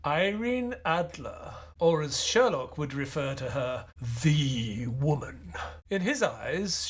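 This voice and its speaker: A man acting an earnest doctor and loyal companion in an audio play, speaking in a measured, sometimes hesitant way. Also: fairly high pitch, breathy edge, heavily acted. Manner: measured, hesitant, stammering in dialogue. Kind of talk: audio play acting and first-person narration.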